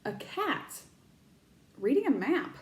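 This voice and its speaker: A high-voiced woman reads a storybook aloud with lots of rise and fall in her voice. She is a teacher, expressive, and she pauses to explain words to her young listeners. The sound carries an echo from the room around her. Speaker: teacher reading aloud to children.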